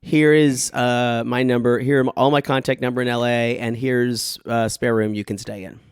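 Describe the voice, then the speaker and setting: A man from South Carolina co-hosting a podcast in playful banter, hoarse from a chest cold. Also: mid-range voice, flat delivery, normal speed, no room sound, clean studio sound. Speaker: podcast co-host. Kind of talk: casual chat.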